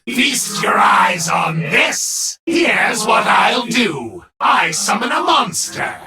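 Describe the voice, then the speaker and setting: A man's voice: extremely high pitch, far from the mic, heavily acted. A man voicing an arrogant, menacing villain card duelist in an anime-style video game, making theatrical, commanding declarations. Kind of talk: dramatic anime dub acting.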